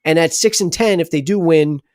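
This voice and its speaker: A man's voice: on the high side, normal speed, darkish tone, dry recording. Chatty man hosting a sports livestream. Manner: rambling, chatty, many restarts. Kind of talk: casual sports livestream talk.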